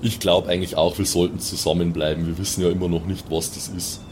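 A man's voice: deep voice, little pitch movement, fast, background noise. A man with a southern German accent, talking casually and colloquially in an improvised role-play game. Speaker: player in a pen and paper role-play podcast.

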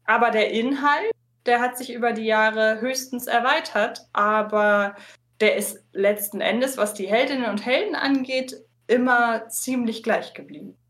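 A woman's voice: fairly high pitch, unhurried, fairly bright tone. A woman of about thirty chatting casually on a podcast, recounting personal memories. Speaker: podcaster, panellist. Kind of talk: casual chat, personal recollection.

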